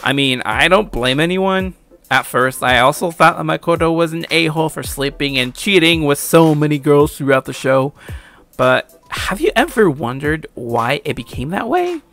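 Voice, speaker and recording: A man's voice: on the high side, lively intonation, moderate pace, a bit bright, soft background music. A young adult man giving casual, opinionated anime commentary in a slangy way with some swearing.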